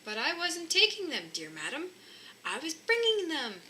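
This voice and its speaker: Jolly old Santa, performed by a woman for a storybook: hearty, courteous, very animated pitch. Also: normal speed, slight background noise, full character voice, rough recording. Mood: jolly, defensive.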